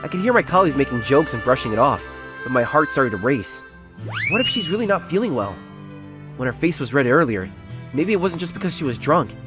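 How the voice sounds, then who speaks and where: Man acting earnest, self-doubting young salesman, animated delivery. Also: medium pitch, brisk, loud music behind the voice, natural voice. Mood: anxious. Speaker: narrator and lead character of a romance comic dub. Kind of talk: first-person dramatised narration.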